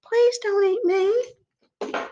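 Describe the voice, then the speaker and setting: A woman's voice: very high-pitched, fairly even intonation, very slow, mellow, dull audio, voice pushed up, heavily acted. A woman voicing a small, timid young male goat who pleads like a child in a folk tale. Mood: frightened pleading.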